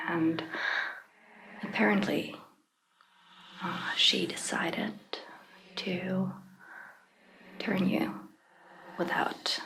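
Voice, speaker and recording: A woman speaking in a breathy, gentle ASMR roleplay style as a caring, reassuring vampire doctor, with hesitant pauses. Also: medium pitch, low-quality recording. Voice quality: breathy, whispery. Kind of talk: soft-spoken ASMR roleplay.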